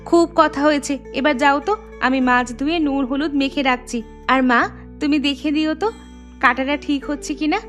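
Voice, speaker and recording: A woman's voice: high voice, steady tone, normal speed, fairly bright tone, faint music behind. A woman playing a young Bengali daughter-in-law in a cartoon, caring, earnest and respectful, eager to please. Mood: affectionately bossy. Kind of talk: animated moral story voice acting.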